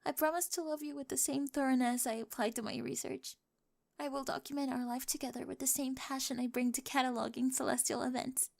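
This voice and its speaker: A woman performs a nerdy young bride in an intimate ASMR roleplay. Her voice sits fairly high and carries a noticeable creaky fry. She is besotted and earnest, reciting technical language with giddy precision. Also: darkish tone. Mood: earnest, adoring. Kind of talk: scripted ASMR roleplay addressed to the listener.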